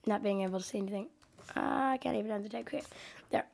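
A woman's voice: medium pitch, fast talker, creaky, darkish tone. A young woman with a cold hosting a casual coding tutorial from her desk, hesitant and full of ums and self-corrections. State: has a cold, sniffling. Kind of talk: casual screen-recorded tutorial.

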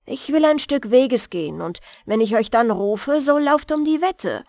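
A woman's voice: a little high, expressive, measured pace, darkish tone, dry recording, studio quality. A woman voicing a fearless, kind young man in a fairy tale, earnest and plain-spoken. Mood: confident.

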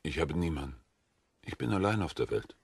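An actor playing a gentle, earnest, naive wandering prisoner in an audio drama, speaking plainly. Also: male voice, rumbling low, animated, fairly quick, husky, no room sound, full character voice. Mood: meek, plain.

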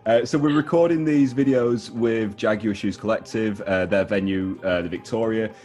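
Low-voiced young man interviewing casually. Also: normal speed, darkish tone. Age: young adult. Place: home room, on a video call. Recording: video call, music underneath.

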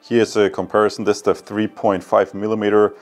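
A man in his thirties with a German accent, giving a casual, halting product review and unboxing to viewers. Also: fairly low pitch, flat delivery. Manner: hesitant, halting, addresses viewers as guys.

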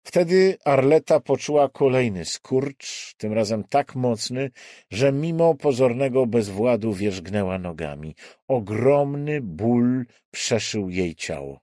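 Male thriller audiobook narrator, dramatic read, unhurried pace, animated intonation. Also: medium pitch, no echo, studio quality.

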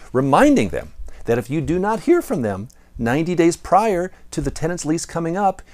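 A man's voice: medium pitch, darkish tone. Theatrical American man presenting a lesson. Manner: theatrical, emphatic delivery. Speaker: presenter of property management lessons. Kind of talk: playful storytelling lesson to camera. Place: indoor studio in front of a backdrop. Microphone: lapel mic.

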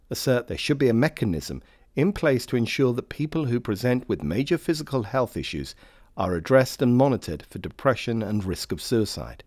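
A man reading scripted instructional narration for a health training film in a formal, measured way. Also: mid-range voice, darkish tone, dry recording, studio quality.

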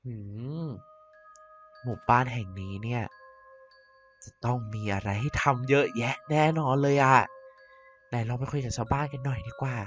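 A woman's voice: extremely low pitch, normal speed, long pauses. A woman as a vain vampire queen. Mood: scheming. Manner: theatrical, self-important monologue. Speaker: narrating YouTuber doing all voices. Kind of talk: Minecraft cartoon voice acting. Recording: slightly dull with faint music.

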